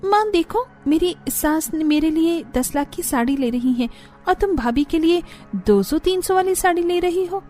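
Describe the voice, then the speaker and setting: A woman acts out a spoiled young daughter-in-law from a cartoon story. The voice is high and soft-edged, with a whiny, bragging, dramatic delivery over music. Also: lively intonation, normal speed. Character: boastful, spoiled young newlywed daughter-in-law flaunting her in-laws' riches. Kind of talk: Hindi cartoon story dialogue.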